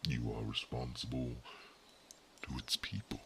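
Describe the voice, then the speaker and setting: Ancient male guardian, not human, a man performing: deep gravelly ASMR whisper, slow and solemn. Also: a little hiss or hum, heavily acted. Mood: solemn counsel. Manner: soft whispering, grave and measured counsel. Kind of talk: ASMR roleplay.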